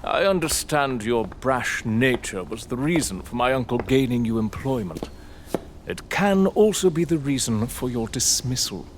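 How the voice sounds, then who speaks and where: An actor playing an arrogant, sardonic aristocratic lord in an audio drama, with a British accent and a pompous, theatrical manner. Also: male voice, mid-range voice, a little hiss or hum, close to an everyday voice. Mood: haughty, sardonic.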